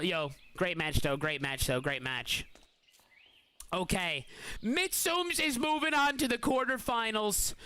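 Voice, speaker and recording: A man with a hoarse, weakened voice, hosting and commentating a game tournament live on stream in excitable, slang-filled bursts. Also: sits high. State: hoarse, voice feels weak.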